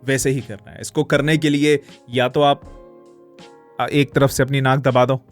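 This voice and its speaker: A man's voice: medium pitch, little pitch movement, normal speed, faint music behind. A man explaining techniques as a voiceover coach. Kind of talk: instructional explanation with demonstrations.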